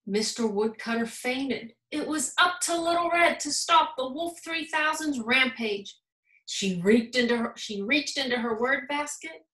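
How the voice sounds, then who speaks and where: A woman's voice: high-pitched, unhurried, bright, forceful tone. A woman reading a children's storybook aloud expressively, like a school principal addressing young listeners. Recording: roomy echo.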